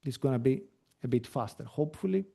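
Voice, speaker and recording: A man whose first language is Greek talks through a screen-shared software demo on a video call. His voice is mellow and sits at a middle pitch. He explains in a relaxed way, with frequent fillers and restarts. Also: fast talker, gravelly, husky. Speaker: webinar presenter.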